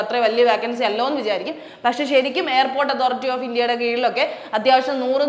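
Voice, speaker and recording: A high-pitched woman with a Malayali accent, talking quickly as an exam coaching tutor. She goes over the details in a chatty way and repeats the key points. Her voice rings in an echoey room with a long reverb tail. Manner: chatty, repeats key facts, asks rhetorical questions. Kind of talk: explaining a job notification.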